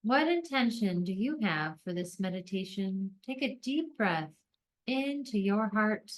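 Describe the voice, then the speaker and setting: Slow-paced woman guiding a meditation. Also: medium pitch, animated. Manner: gentle, deliberate phrasing with long pauses. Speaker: meditation guide. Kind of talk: guided meditation narration.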